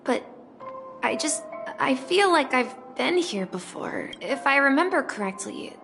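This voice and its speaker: A woman's voice: sits high, sing-song, a bit bright, full character voice. A woman voicing a timid, polite young boy doorman in a video game, hesitant and eager to help. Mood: unsure, helpful. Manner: hesitant, halting. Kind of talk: scripted game voice acting. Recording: long hall reverb, faint music.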